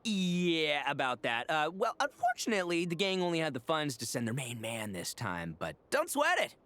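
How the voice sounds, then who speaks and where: A man's voice: a little high, wildly swooping pitch, normal speed, pushed and bright, heavily acted. A man playing a boastful, good-natured young gang boss in a video game, bombastic and slangy. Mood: cocky.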